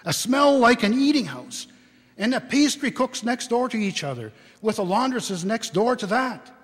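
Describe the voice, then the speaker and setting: A man giving a dramatic, expressive storybook reading to a live audience in a large, echoing church. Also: very high voice. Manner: theatrical, expressive, deliberate phrasing.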